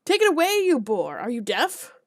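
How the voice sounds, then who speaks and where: Bombastic village official, a short hot-headed man who shouts and blusters; a woman reads him in a raised voice. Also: fairly high pitch, normal speed, no room sound, clean studio sound, close to an everyday voice. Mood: yelling. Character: small podgy hot-tempered male village alderman.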